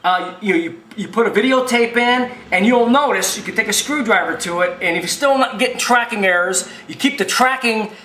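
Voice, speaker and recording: A man with a notably high, sharp-edged voice talks through a repair in an informal tutorial. He is folksy and emphatic, rambling and circling back over his points. The sound is roomy and echoing. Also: brisk, hardly any pauses, slight background noise. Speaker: video machine repair technician and presenter.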